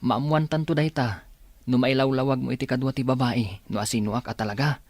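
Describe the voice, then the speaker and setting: An actor voices a lovesick young male student in an Ilocano radio drama. His mid-pitched voice is anxious and recorded very dry. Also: fairly even intonation, brisk, a little mellow, dry recording, lightly acted. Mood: pleading, nervous.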